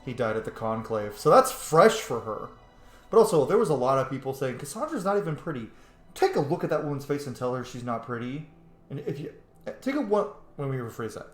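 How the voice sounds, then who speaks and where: A video game player with a mid-pitched voice, giving casual, silly, informal commentary while roleplaying. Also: big pitch swings, brisk, roomy sound. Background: faint video game music.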